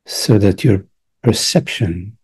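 A man leads a guided meditation in a very husky, breathy voice with a dark, relaxed tone. He speaks very slowly and gently, in short hushed phrases with long pauses left between them. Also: on the low side. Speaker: meditation teacher.

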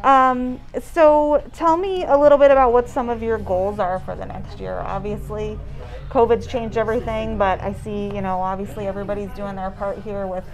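A woman in her thirties interviewing a shop owner, friendly, with quick short acknowledgements, in a barbershop. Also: medium pitch, fairly even intonation, poor audio. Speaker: interviewer, realtor. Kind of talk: casual interview.

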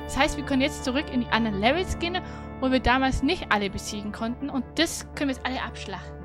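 High-voiced chatty woman over music. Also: fast. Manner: chatty, informal. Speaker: gaming stream co-host. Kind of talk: casual livestream chat and game recap. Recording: music playing behind the voice. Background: game music.

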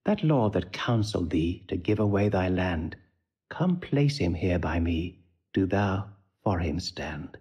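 An actor playing an impudent, riddling court jester in a Shakespearean audio drama, with a playful, mocking delivery. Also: male voice, sits low, expressive, a little slow, dark tone, breathy edge, heavily acted. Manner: mocking, sing-song, playful.

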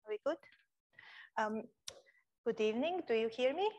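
Woman from Croatia, young adult, public lecture, earnest and expressive, fairly high voice. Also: moderate pace, muffled recording. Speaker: guest speaker. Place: lecture room.

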